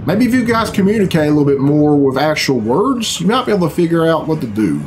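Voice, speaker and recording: A man's voice: a little high, very animated, fast talker, darkish tone, a little hiss or hum. A middle-aged man giving casual, profane reaction commentary in a sarcastic, bemused grumble. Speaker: reaction video host. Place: small bedroom, indoors. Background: video game cutscene music.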